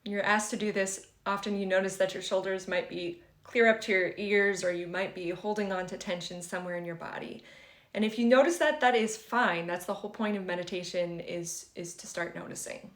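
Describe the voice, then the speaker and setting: A woman leading a guided meditation for beginners in a gentle, conversational and reassuring way. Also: mid-range voice, brisk. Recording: roomy, echoing sound.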